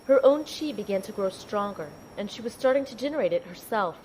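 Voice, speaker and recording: A woman playing a determined young heroine who narrates her own adventure in an audio drama, in a measured, earnest way. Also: mid-range voice, lively intonation, darkish tone, slight background noise, close to an everyday voice, poor audio. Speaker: narrator and lead character. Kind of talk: scripted first-person audio drama narration.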